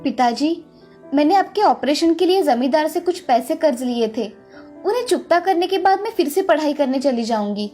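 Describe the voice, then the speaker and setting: A woman playing a dutiful, respectful college-age daughter in an animated moral story, with an earnest, pleading manner. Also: high voice, moderate pace, soft background music. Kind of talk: children's animated story acting.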